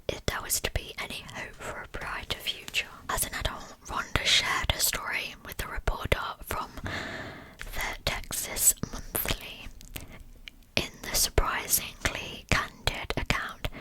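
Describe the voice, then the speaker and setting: A woman narrating a scripted true-crime account as ASMR in a breathy pure whisper, with soft, deliberate, unhurried phrasing. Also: low-quality recording.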